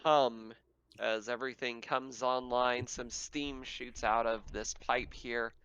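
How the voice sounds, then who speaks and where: A man acting as game master, narrating and refereeing a tabletop roleplaying game in halting phrases. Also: mid-range voice, flat delivery, fairly bright tone, clear, resonant tone, muffled recording.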